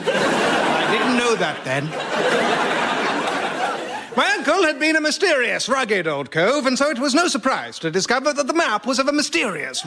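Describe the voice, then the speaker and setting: A grandiloquent British spinner of tall tales in a radio comedy monologue. The delivery is theatrical and pompous with wide swings of pitch, on a noisy recording. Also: male voice. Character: grandiloquent male raconteur of tall swashbuckling sea stories.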